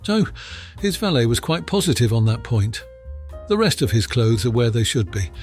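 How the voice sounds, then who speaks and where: A man acts out a confident audiobook police inspector in a middle-pitched voice. He is blunt and matter-of-fact, with everyday colloquial phrasing. Light music runs underneath. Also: very animated, moderate pace, darkish tone, lightly acted. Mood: reporting news. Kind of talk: acted audiobook dialogue.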